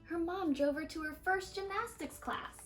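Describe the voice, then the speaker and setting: A woman with a high voice reads a children's picture book aloud. Her delivery is animated, drawing out important words for effect. Faint music plays along with the reading. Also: normal speed. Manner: animated storytelling, stressing and stretching key words. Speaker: storybook reader.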